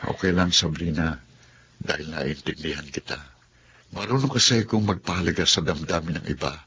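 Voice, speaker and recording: A loyal, generous young man, played by a man in a radio drama. His voice is very low and steady, with a faint hiss under it. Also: normal speed.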